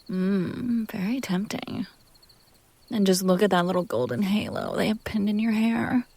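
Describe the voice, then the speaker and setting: A woman playing a possessive, teasing demon in an intimate scripted audio roleplay, speaking theatrically with drawn-out phrases that drop toward whispers. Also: medium pitch, darkish tone, breathy edge. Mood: teasing, admiring.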